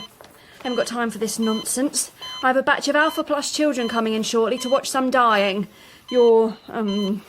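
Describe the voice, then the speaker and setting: An actress playing a brisk, disdainful hospital sister in a radio drama, scolding and officious. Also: female voice, on the high side, background noise, lightly acted. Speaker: hospital nurse in charge. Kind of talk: theatrical radio drama acting.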